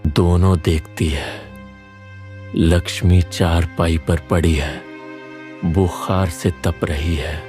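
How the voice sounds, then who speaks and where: Casting: male narrator for scripted tales, very low voice, unhurried delivery. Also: fairly even intonation, a little mellow, faint music behind.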